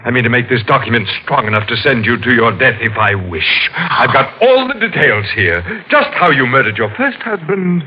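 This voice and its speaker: An actor playing a calm, murderous man who claims prophetic visions, in 1940s radio acting. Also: mid-range voice, a little hiss or hum, lightly acted. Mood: menacing. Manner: calm, measured menace. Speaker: villain in a radio drama. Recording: muffled vintage radio recording.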